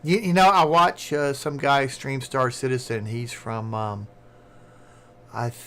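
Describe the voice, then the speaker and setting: A man with a Southern American accent chatting casually on a live stream, talking fast and rambling. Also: mid-range voice, steady tone, a bit bright. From southern United States. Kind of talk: casual chat.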